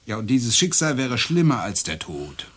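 A wry, easily flustered university lecturer in a radio play. His husky voice swings widely in pitch. He talks in a stammering, conversational way with a theatrical edge. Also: sing-song, normal speed. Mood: wry, teasing.

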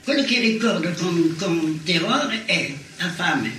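Bright, sharp older Italian woman, echoey. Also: lowish voice, animated, slow. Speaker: former partisan giving testimony. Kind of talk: personal oral-history testimony. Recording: echoey room, distant mic, faint noise.

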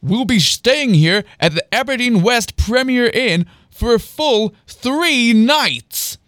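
A man's voice: high voice, sing-song, normal speed, fairly bright tone, dry recording, studio quality, pitched above the performer's own voice. A man voicing a flamboyant, smug young enthusiast in a story, declaiming grandly. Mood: smug, enthusiastic. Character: Andy, flamboyant young man, proud, manic, bossy enthusiast.

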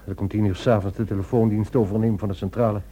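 An actor playing a weary, guilt-ridden husband, earnest but strained, in a radio play. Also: male voice, lowish voice, steady tone, very fast, mellow, husky. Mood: tired, soothing. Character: weary, guilt-ridden, evasive devoted husband, helpline volunteer. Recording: dull, lo-fi old radio recording.

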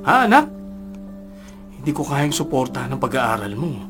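A man's voice: medium pitch, sing-song, normal speed, over music, lightly acted. Actor as worried father, swooping intonation. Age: middle-aged. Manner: concerned, pressing, emotional. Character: worried, struggling vegetable-seller father. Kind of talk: Filipino radio drama acting.

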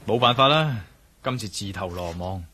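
An actor playing a bold, wary adventurer hero in a radio drama, speaking Cantonese with a Hong Kong accent in a wry, commanding manner. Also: male voice, fairly low pitch, lively intonation, moderate pace, pushed and bright, slight background noise. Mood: sheepish, explaining. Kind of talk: radio drama acting with spoken inner monologue.

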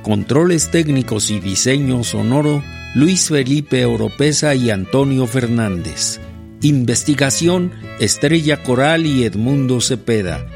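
A man's voice: mid-range voice, lively intonation, unhurried, gravelly, darkish tone. A man with a Mexican accent reading scripted radio programme credits as an announcer. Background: music with guitar and violin.

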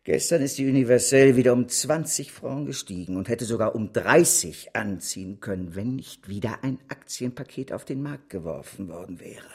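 An actor playing an embattled, scornful banker in a German radio drama, with a biting, contemptuous manner and a husky voice. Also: male voice, mid-range voice, a little mellow.

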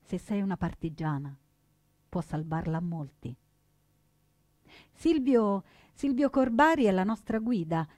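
An actress playing a proud young woman partisan in her twenties, telling her story in measured, earnest theatrical narration. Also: mid-range voice, expressive, darkish tone, no room sound. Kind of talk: scripted theatrical first-person narration. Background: plucked guitar music.

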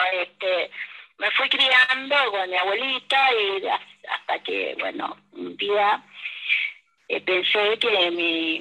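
Older Chilean woman, bright hesitant voice. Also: medium pitch, creaky, rough recording. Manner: hesitant, many fillers, soft laughs. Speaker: guest. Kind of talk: radio interview.

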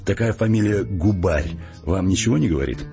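A huge, stooped man with a very low, creaking voice. He questions curtly and insistently, grim throughout. It is a radio play, slightly dull in sound, with music underneath. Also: a little fast. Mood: curt, probing. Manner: curt, insistent, interrogating. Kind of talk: Russian audio drama acting.

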